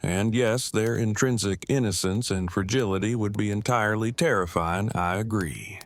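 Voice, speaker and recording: Guy playing a bookish loving husband in an audio drama, kinda low voice, stiffly formal and measured wording. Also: natural voice. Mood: earnest, loving. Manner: formal, verbose, precise, measured. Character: devoted, bookish, formally spoken husband in an apocalypse. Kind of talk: full-cast audio drama acting.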